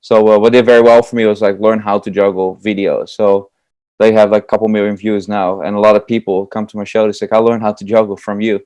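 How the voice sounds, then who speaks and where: Dutch guy talking juggling, kinda low voice, lots of likes and uhs, dry close sound. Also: level tone, quick pace, darkish tone, no room sound, rough recording. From Netherlands. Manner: eager, chatty, many fillers like "like" and "uh". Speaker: guest, comedy juggler. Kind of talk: casual interview answers.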